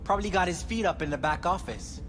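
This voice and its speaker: An actor playing a flighty, casual club worker in a video game, speaking in a hesitant, vague way. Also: male voice, sits high, fast talker, fairly bright tone. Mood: casual, gossipy. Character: flighty, casual male doll working at a club. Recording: reverberant room, music behind.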